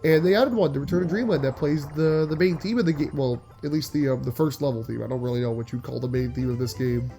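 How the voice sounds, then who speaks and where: A man's voice: mid-range voice, fast talker, few pauses, warm tone, faint music behind. A young man giving casual, rambling gameplay commentary with a slightly nasal voice, often restarting sentences. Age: young adult. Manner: rambling, chatty, frequent restarts and filler likes. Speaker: gaming channel host.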